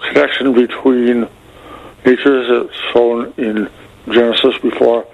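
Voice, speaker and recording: A man calling a radio show, halting and hesitant as he searches for words, with effortful articulation from a speech impediment. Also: mid-range voice, fairly even intonation, vocal fry. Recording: slightly dull, band-limited radio call.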